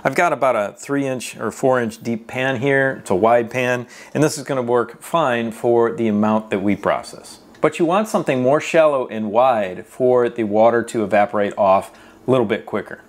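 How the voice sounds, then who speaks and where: A man's voice: mid-range voice, normal speed. A man, hosting a homesteading how-to vlog, explaining each step steadily to camera on a lapel mic. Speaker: homesteading channel host. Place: home workshop barn, then a kitchen, indoors. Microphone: clip-on lapel mic.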